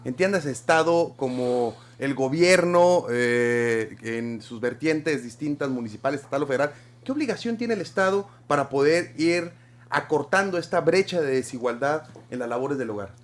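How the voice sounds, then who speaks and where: A man's voice: medium pitch, normal speed. A male host, moderating a panel and calling on guests by name in a conversational way.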